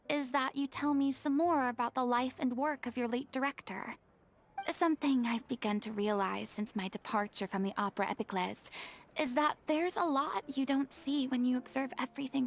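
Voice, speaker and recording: A woman's voice: high voice, normal speed, vocal fry. An actress voicing a theatrical former goddess in a game cutscene, lofty and ornate in her speech. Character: grand theatrical former goddess, young woman. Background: game music.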